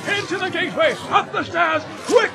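A man voicing an excitable, learned old wizard with a commanding, theatrical manner, as a storybook character. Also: a little high, moderate pace, music in the background, crowd noise, voice pushed up. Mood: urgent. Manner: exclamatory, theatrical, swooping between amusement and urgency.

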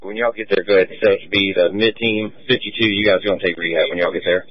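Deep, fast-talking man on radio. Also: fairly even intonation, no room sound. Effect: two-way radio. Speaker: EMS district supervisor. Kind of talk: operational radio traffic. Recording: distant, muffled two-way radio.

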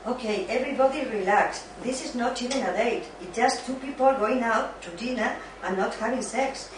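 Recorded at a distance with clear noise and heavy echo, an amateur actress plays a sensible young woman in a sitcom. She is caring but teasing. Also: fairly high pitch, moderate pace, natural voice. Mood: brisk, caring. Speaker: student in an amateur dramatized reading. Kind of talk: amateur dramatized sitcom reading.

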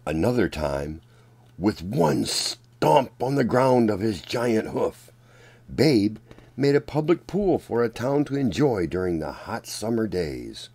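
A man reads a children's picture book aloud in a low voice. His pace is very slow and deliberate, and his intonation rises and falls widely. The delivery is that of a dramatic storyteller addressing young children. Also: sing-song, warm tone, breathy edge. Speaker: children's storyteller and channel host.

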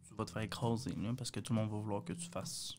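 A man's voice: lowish voice, fairly even intonation, fast, darkish tone, a little hiss or hum. A young man with a Quebec French accent, talking casually as a panelist in a discussion. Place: small radio studio. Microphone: studio boom microphone.

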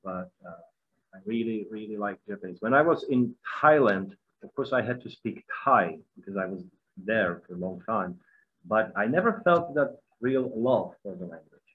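A man's voice: lowish voice, expressive, moderate pace, breathy edge. A middle-aged man with a Czech accent, a musician talking earnestly as a guest on a webinar video call, repeating words as he goes. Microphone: video call laptop mic. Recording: dull lo-fi video call audio.

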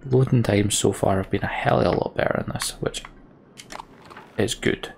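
A man's voice: lowish voice, fairly even intonation, moderate pace, gravelly, a little mellow, a little hiss or hum. A man hosting a let's play, reading game text aloud and commenting casually with dry asides.

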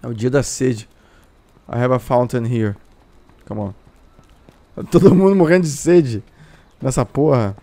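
A man's voice: mid-range voice, normal speed, pauses often, warm tone, no echo. A Brazilian man streaming a multiplayer game, teasing other players in broken English mixed with Portuguese. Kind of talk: joking multiplayer game chat.

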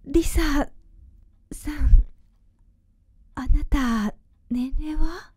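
An actress playing a frail, tender and remorseful dying mother in a radio drama, speaking haltingly. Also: high voice, a little mellow, no room sound. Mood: hesitant, shaken. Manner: slow, halting, tearful delivery. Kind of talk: Japanese radio drama acting.

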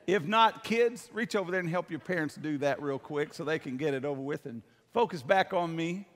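A man's voice: on the high side, brisk. An older man preaching a sermon to a congregation as a pastor, in a folksy, emphatic manner. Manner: folksy, emphatic, seeks amens from listeners. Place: church hall, speaking from the stage. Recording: long hall reverb.

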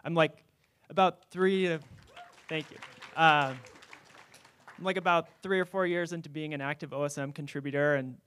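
A man, a board member, introducing himself on a conference panel in a quick, earnest way, often restarting phrases, on a handheld mic. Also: a little high, steady tone, fairly bright tone, smooth, no echo. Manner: quick, earnest, self-correcting. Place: conference hall on stage.

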